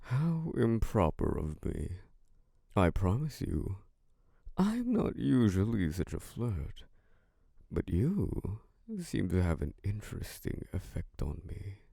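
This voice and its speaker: Ancient vampire lord, played by a man: very deep, unhurried, flirtatious and possessive, in an ASMR drama. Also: big pitch swings, no echo, clean studio sound, full character voice. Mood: seductive. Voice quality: deep, gravelly. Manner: slow, velvety and flirtatious, with soft laughs. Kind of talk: ASMR roleplay audio drama.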